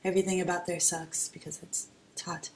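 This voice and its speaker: A woman from Florida speaks frankly and wryly at a middle pitch. Her intonation stays flat. Faint street ambience surrounds her. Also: a little fast, a little hiss or hum. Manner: frank, wry complaints. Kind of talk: documentary interview.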